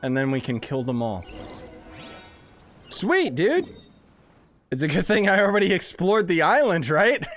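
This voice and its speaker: Slangy, excitable man commenting on a game. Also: high-pitched, unhurried, pauses often. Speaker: game streamer reacting. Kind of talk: casual gameplay commentary. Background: video game music.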